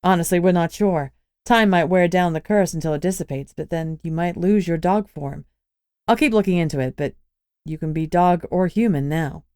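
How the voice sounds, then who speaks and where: A woman's voice: fairly low pitch, moderate pace, a little mellow, clear, resonant tone, no echo, studio quality, close to an everyday voice. A woman voicing a weary, matter-of-fact female spellcaster who speaks frankly and firmly.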